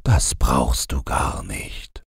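A grumpy yet loving storybook king, acted by a male narrator, with an extremely low voice. He speaks slowly, in a very raspy tone. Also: animated, dry recording, full character voice. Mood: tender. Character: grumpy but affectionate fairy-tale king, adult husband. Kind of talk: storybook character voice.

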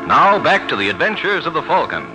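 A male announcer in 1940s radio style, delivering scripted pitches and narration with emphatic, polished salesmanship. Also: very animated, fairly quick, over loud music, low-quality recording.

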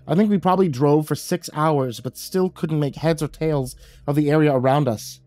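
A man's voice: a little high, normal speed, a little mellow, soft background music, voice pushed up, close to an everyday voice. A man voicing a young woman in a spoken horror story, bitter and full of guilt as she recounts a loss. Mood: grieving.

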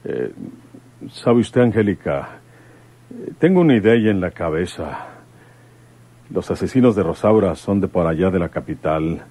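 An actor playing an earnest, quick-tempered rural commissioner in a radio soap, with folksy, halting speech. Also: male voice, low-pitched, animated, mellow, breathy edge, full character voice. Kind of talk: Mexican radio soap acting.

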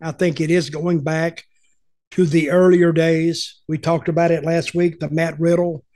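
A man's voice: fairly high pitch, little pitch movement, unhurried, darkish tone. An older man telling rambling, folksy stories as a podcast host on a video call from home. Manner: rambling, folksy, repeats words. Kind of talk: casual storytelling chat. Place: home room with lamp. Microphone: headphones, webcam video call.

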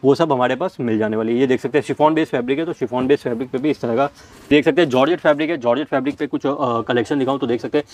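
A young man walks a camera through stacks of cloth in a warehouse. He talks very fast, reeling off fabric types in steady salesman patter, at an ordinary pitch for a man. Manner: rapid salesman patter listing items. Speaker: fabric seller. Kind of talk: product showcase walkthrough.

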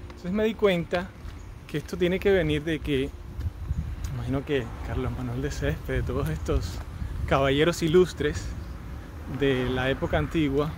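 A Cuban man in his thirties walks a neighborhood street and talks to his phone on a selfie stick, chatty and quick with joking asides. His voice sits a little high for a man. Traffic and outdoor sounds run clearly behind him. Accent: Cuban Spanish. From Cuba. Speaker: vlogger. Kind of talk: casual walking vlog. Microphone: phone on a selfie stick at arm's length. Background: street traffic and outdoor ambience.

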